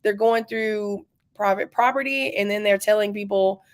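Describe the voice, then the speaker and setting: A woman's voice: medium pitch, fairly even intonation, normal speed. A woman from North Carolina, a community organizer, speaking earnestly and with passion to a virtual rally. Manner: earnest and impassioned.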